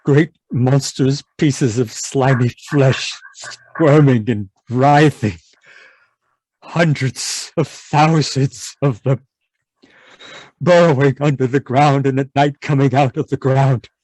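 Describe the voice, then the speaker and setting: An actor playing an anguished, haunted man in an old-time radio horror monologue, halting and repetitive in a melodramatic way. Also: medium pitch, darkish tone, dry recording. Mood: dread, despair. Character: anguished, haunted man raving about monstrous giant worms.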